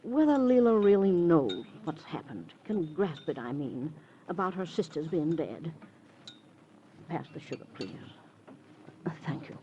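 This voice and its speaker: A woman's voice: low voice, very animated, measured pace, long pauses, warm tone. An actress playing a chatty, gossipy female neighbor with a hesitant, confiding way of talking, in 1950s radio drama style. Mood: confiding, insinuating. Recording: muffled, hissy old radio recording.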